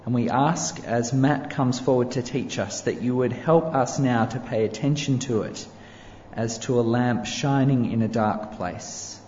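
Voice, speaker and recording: A man's voice: mid-range voice, a little mellow, slight background noise. Solemn male pastor praying in a reverberant hall. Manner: measured, solemn prayer cadence. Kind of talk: public prayer. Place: church hall. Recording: echoey hall, dull sound, faint ambience.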